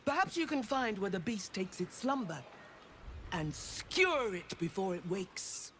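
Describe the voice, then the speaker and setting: A woman's voice: mid-range voice, big pitch swings, unhurried, a bit bright, a little hiss or hum. A woman playing a stern, zealous priestess of a sea goddess in a fantasy game, preaching in a solemn, florid manner. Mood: grave zeal. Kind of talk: dramatic fantasy game acting.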